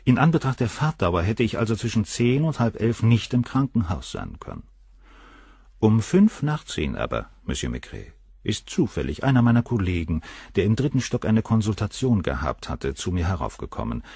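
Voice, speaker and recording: Deep, husky, haughty professor. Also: male voice, very animated, moderate pace, darkish tone, no echo, studio quality, heavily acted. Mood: detached, self-assured. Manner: detached, haughty, precise. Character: cold, arrogant professor of surgery, unrepentant philanderer. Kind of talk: German crime radio play acting.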